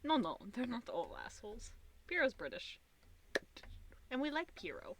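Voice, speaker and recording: A woman's voice: on the high side, very animated, moderate pace, noisy background. A woman with an American accent hosting a casual, goofy livestream in a playful, theatrical way. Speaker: streamer, host.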